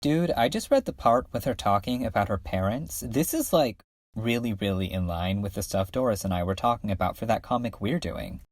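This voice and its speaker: A man's voice: mid-range voice, background noise, natural voice. A man voicing a slangy, enthusiastic geek who rambles about fanfiction in a webcomic fan dub. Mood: eager. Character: casual slangy geek excitedly telling and discussing fanfiction. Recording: music under the voice.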